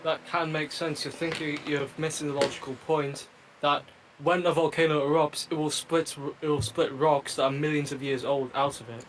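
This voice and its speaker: A man from England with an English accent, a guest debating calmly and directly in a casual podcast chat. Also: fairly high pitch, moderate pace, slight background noise, rough recording.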